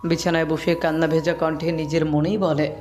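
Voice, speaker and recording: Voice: medium pitch, little pitch movement, normal speed, darkish tone. A narrator reading a scripted romantic story as the storyteller. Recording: background music under the voice.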